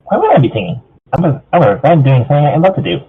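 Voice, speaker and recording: A man's voice: on the high side, wildly swooping pitch, very fast. A man playing a bubbly, playful female cartoon pony in a fan fiction reading. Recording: tinny band-limited voice call, noisy.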